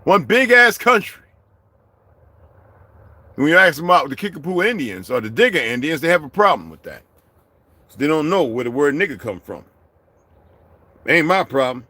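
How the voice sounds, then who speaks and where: A middle-aged man delivering a heated, profane vlog rant, swinging from low muttering to shouting. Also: very animated, normal speed, long pauses, no echo. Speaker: vlogger. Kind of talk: profane ranting monologue.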